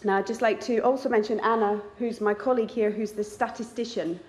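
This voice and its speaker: A woman's voice: fairly high pitch, steady tone, a little fast, darkish tone. A woman, a nature conservationist, giving a conference talk in a chatty, self-deprecating way. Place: lecture hall. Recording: roomy hall sound.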